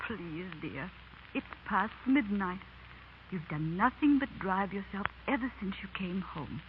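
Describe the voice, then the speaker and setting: An actress playing a worried, tender wife, pleading gently in 1930s radio drama style. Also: female voice, medium pitch, animated, unhurried, natural voice. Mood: concerned, pleading. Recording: tinny, hissy 1930s radio recording.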